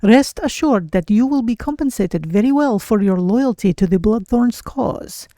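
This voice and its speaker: A woman voicing a careful, observant female cat-folk adventurer in a game playthrough, speaking in deliberate, measured phrases as if to herself. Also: on the high side, lively intonation, mellow, dry recording, studio quality, lightly acted. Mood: reading aloud. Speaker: streamer voicing her game character. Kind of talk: in-character game playthrough narration.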